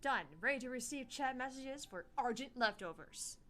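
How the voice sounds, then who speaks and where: A woman's voice: sits high, normal speed, a bit bright. A young woman co-hosting a gaming livestream in casual, playful chat, swearing now and then. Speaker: livestream co-host.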